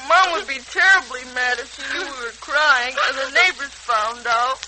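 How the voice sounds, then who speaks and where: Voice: sits high, moderate pace, natural voice. A performer playing an earnest, plucky young boy in a 1940s radio drama. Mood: comforting. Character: Johnny, earnest young boy comforting his sister. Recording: hissy old radio recording.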